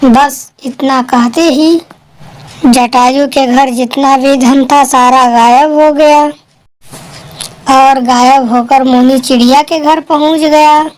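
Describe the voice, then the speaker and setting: A woman's voice: high voice, fairly even intonation, slow pace, darkish tone, poor audio. A woman narrating a scripted children's story.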